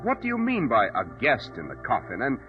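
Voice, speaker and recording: An American man announcing in 1940s radio style, pitching to listeners with a husky voice. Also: wildly swooping pitch, a little fast, over music. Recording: dull old 1940s radio recording.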